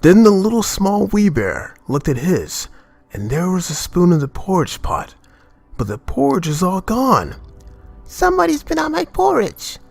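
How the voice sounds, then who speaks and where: A man narrating a children's tale as a storyteller, reading expressively in a measured manner. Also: high voice, wildly swooping pitch, darkish tone, dry recording.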